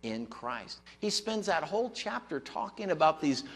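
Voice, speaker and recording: A man in his sixties with an American accent delivers a sermon in a reverberant church. His voice sits on the high side and swings widely in pitch as he presses his points. The delivery is that of a pastor talking plainly but emphatically to listeners. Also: animated, normal speed. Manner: emphatic, conversational preaching with rhetorical questions. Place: church sanctuary, at a pulpit. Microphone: gooseneck pulpit microphone.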